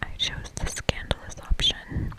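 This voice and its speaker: ASMR game narrator, entirely whispered delivery, breathy and unvoiced. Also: measured pace. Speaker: ASMR video game player and host.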